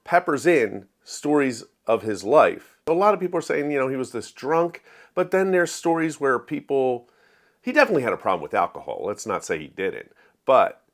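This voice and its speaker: A man giving a casual spoken book review in a conversational way, with playful asides. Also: medium pitch. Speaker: book reviewer, show host.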